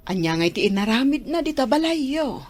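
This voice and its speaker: An actress with an Ilocano accent playing an inquisitive, chiding older auntie in a radio drama, full of questions. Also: female voice, high voice, big pitch swings, a little fast, a little mellow. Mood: nosy, surprised.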